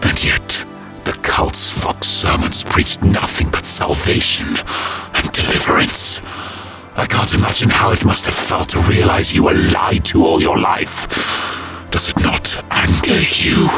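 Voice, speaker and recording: A man voicing a grim, vengeful reptilian alien warrior in a science fiction audio story, with a harsh, low, gravelly voice and solemn, deliberate delivery. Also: expressive, music in the background. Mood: solemn, goading. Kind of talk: dramatic audio story acting.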